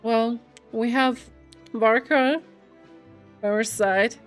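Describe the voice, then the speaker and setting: A woman's voice: a little high, fairly even intonation, drawn-out words, faint music behind. A woman game streamer giving casual playthrough commentary and reading dialogue aloud, musing and reactive.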